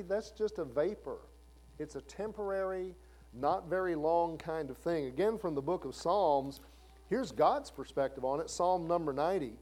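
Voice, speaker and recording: A man's voice: a little high, warm tone. A man in his fifties preaching a sermon in a conversational way, asking the listeners questions. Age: 50s. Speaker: pastor.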